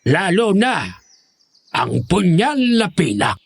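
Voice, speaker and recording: An actor playing the grave, commanding leader of a secret band of monster hunters in a horror audio drama, giving instructions. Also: male voice, sits high, big pitch swings, slow pace, vocal fry, slightly rough, heavily acted.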